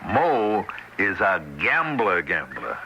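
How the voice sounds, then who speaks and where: Weary ordinary guy, lowish voice, very lively intonation, rueful, earnest, vintage radio play. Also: slow, lo-fi sound, heavily acted. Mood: earnest, scheming. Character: weary, rueful married gambler, a well-meaning ordinary man. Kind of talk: 1970s radio drama acting. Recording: noisy old 1970s radio broadcast.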